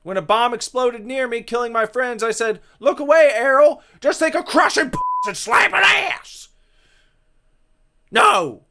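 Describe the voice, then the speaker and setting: A man voicing the ghost of a brash, crude young soldier, shouty and melodramatic, in a visual novel reading. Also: extremely high pitch, measured pace, creaky, heavy background noise, full character voice. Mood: defiant, crude.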